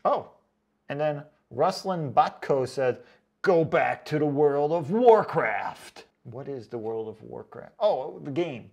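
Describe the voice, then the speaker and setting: Slightly high-voiced man, chatty and joking. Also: expressive, slow, creaky, darkish tone, breathy edge. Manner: chatty, joking, sarcastic asides. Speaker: online boot reviewer and video host. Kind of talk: casual vlog reading out viewer comments.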